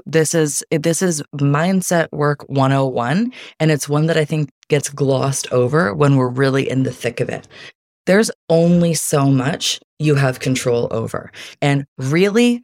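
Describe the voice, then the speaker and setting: A woman speaking in an unhurried, soothing voice as an interview guest. She talks in a relaxed, easy way. The sound is dry and close, with no room around it. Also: low-pitched, no room sound. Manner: soft, calming, easy delivery. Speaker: guest, life coach. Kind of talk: casual interview chat.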